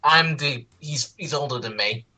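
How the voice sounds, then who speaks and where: A man chatting casually as a guest commentator, halting and stammering, often repeating his words. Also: mid-range voice, sharp tone, a little hiss or hum. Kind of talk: casual chat.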